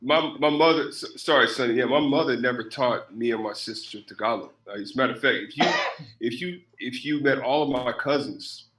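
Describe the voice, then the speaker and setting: Animated man in a roomy space. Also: mid-range voice, a little fast, a little hiss or hum. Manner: animated, repeats words in bursts. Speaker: podcast co-host. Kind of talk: casual interview chat. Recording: echoey room.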